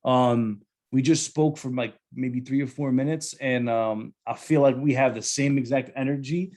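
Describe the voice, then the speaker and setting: A man hosting a podcast, talking relaxed and hesitant, with plenty of ums. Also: medium pitch, moderate pace. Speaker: podcast host. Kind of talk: casual podcast chat.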